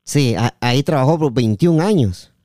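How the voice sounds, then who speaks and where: A man's voice: mid-range voice, expressive, moderate pace, warm tone, no room sound, studio quality. A man hosting a podcast in a conversational interview style. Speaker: podcast host.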